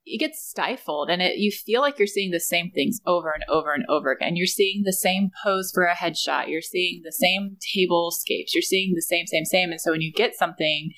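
A woman's voice: fairly low pitch, brisk, hardly any pauses, a bit bright, rough recording. A woman in her thirties hosting a casual conversation in an effusive, emphatic way. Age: 30s. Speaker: podcast host.

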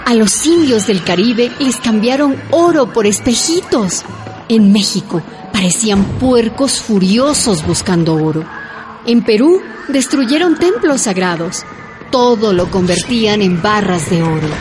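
A woman's voice: mid-range voice, expressive, unhurried, a little mellow, soft background music. A woman giving scripted, indignant narration in a radio drama.

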